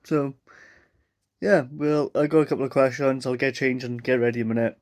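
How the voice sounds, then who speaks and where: A man's voice: mid-range voice, flat delivery, fairly quick, darkish tone. A Welsh man in his twenties from South Wales, rambling through a casual Q&A vlog to a mic and camera with lots of fillers. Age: 20s. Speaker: vlogger. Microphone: mic facing camera.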